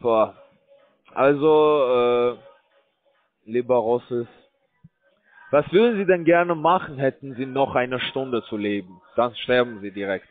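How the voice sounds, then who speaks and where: A man calling from a call center, rambling in a bored, crude, halting way. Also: medium pitch, expressive, no echo. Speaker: call center scam caller. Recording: dry tinny phone line.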